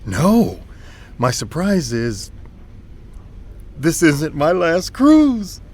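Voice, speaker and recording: An actor playing a calm, earnest ship's captain in an audio drama, measured and gentle. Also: male voice, on the high side, full character voice. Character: calm, earnest male cruise ship captain. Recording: faint ambient noise.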